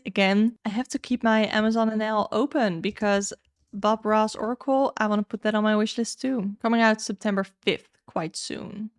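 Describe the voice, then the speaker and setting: A woman's voice: mid-range voice, clear, resonant tone, dry recording, clean studio sound. A young woman whose first language is Dutch, casually reviewing upcoming tarot and oracle decks in a candid, chatty way. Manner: candid, chatty, frank opinions. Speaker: tarot YouTuber.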